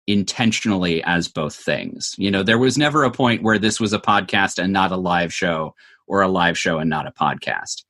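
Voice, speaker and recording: Low-voiced man explaining thoughtfully. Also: fairly bright tone. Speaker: interview guest, audio drama maker. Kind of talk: conversational interview answers.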